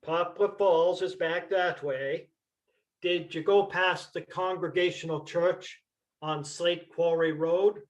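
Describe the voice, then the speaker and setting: A man acting a slow, laconic country local. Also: high voice, poor audio. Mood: matter-of-fact. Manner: terse, matter-of-fact, deadpan. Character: laconic, unhurried rural Vermont local selling maple syrup. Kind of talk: staged reading of a comic play.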